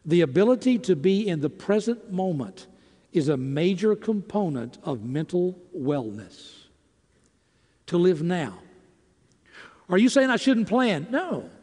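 A man preaching in a deliberate, emphatic way, breaking his lines into short phrases with dramatic pauses. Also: high-pitched, very animated, mellow. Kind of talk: sermon with emphatic rhetorical questions and scripture. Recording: long reverberant hall.

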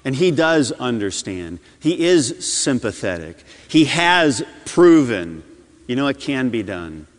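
Male pastor preaching a fervent sermon, lively voice, reverberant church sound. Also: medium pitch, slow pace. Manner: impassioned preaching, swings between loud and hushed. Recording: long hall reverb.

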